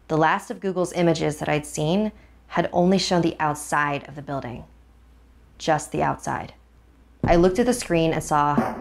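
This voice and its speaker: A woman reading a first-person horror story in a suspenseful, expressive, dramatic way. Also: deep voice, gravelly. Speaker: narrator. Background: music.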